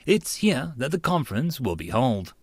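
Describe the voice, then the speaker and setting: A man reads a visual novel aloud like an audiobook, with casual asides to listeners. His pitch moves around a lot, and the recording is close and dry. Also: very animated, normal speed, darkish tone, slightly rough, no echo. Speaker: audiobook narrator and channel host.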